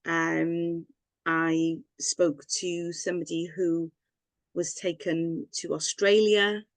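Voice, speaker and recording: A woman's voice: lowish voice, level tone, slow, no room sound. A woman from Wales, a guest telling her own story in a rambling, candid way with frequent laughs. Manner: rambling, personal, laughs often. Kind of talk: candid personal story in interview.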